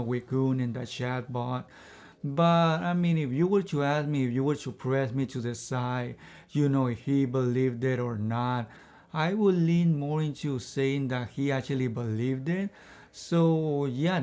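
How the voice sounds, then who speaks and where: A man's voice: medium pitch, darkish tone. Rambling man, a bit out of breath. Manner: rambling, fills pauses with "you know" and "I guess you can say". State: slightly out of breath. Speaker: anime reviewer. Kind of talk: casual unscripted review in one take.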